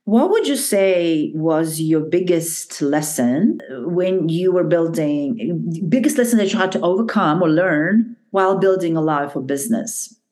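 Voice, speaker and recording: A woman's voice: on the low side, lively intonation, measured pace, hardly any pauses. A woman hosting an interview podcast, affirming her guest and asking long questions. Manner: warmly affirming, repeats praise. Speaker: podcast host, network founder.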